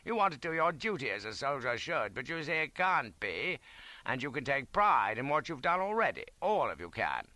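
A falsely noble colonel acted by a male narrator talks down in a lofty, patronising way. The voice is bright and pitched up, in a dry audiobook recording. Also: sing-song, moderate pace, dry recording. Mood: patriotic, patronising. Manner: lofty, patronising officer's address. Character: smooth-talking, falsely noble British cavalry colonel.

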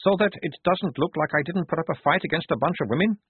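A man's voice: on the high side, very animated, normal speed, slightly rough, no room sound, voice pushed up. A man voicing an oafish, self-pitying guard in a storybook, with a chummy, wheedling manner. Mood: sheepish. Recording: narrow tinny phone-like audio.

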